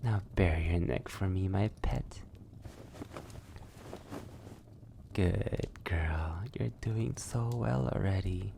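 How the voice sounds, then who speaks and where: Man playing a deep, slow, sadistic vampire lord. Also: vocal fry, warm tone, slight background noise, exaggerated voice. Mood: predatory, coaxing. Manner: silky, menacing, condescending endearments, often dropping to a whisper. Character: sadistic, possessive centuries-old male vampire lord. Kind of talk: intimate ASMR roleplay, slow and theatrical.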